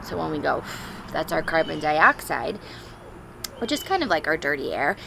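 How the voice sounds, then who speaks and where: Creaky-voiced woman reading a picture book aloud. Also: sits low, moderate pace, background noise. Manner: expressive, simple explanations and questions for young children. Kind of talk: storybook read-aloud with chatty asides to children.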